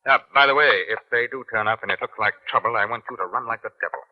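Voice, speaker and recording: An actor playing a brisk, wry British police inspector in old radio crime drama, with clipped commands and dry understatement. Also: male voice, mid-range voice, close to an everyday voice. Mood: awkward, kindly. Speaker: lead actor and narrator. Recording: muffled old radio recording.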